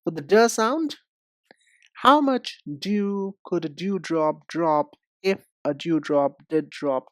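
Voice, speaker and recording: Male language tutor for lesson narration. Slow, deliberate delivery, expressive mid-range pitch, addresses the learner directly. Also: no room sound, clean studio sound. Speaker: English pronunciation teacher. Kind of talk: instructional lesson.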